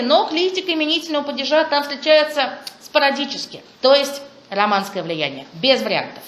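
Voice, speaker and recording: A fairly high-voiced woman presents research at a conference, talking with eager enthusiasm and little exclamations of delight. Her voice rings around an echoey room. Faint background noise sits under it. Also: fairly bright tone. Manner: enthusiastic, exclaims over findings. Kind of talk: academic talk with Q&A.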